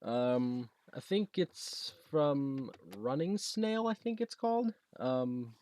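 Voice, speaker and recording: A young American man chatting casually through a home unboxing video, halting and rambling as he goes. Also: mid-range voice, animated, a little mellow. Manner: halting, rambling, reads box text aloud. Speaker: video creator showing a gadget. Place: basement room at home.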